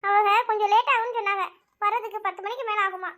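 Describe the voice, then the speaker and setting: A woman's voice: extremely high pitch, steady tone, fast, bright, forceful tone. A woman voicing a fretting older aunt. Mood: reassuring. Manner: fretting, complaining.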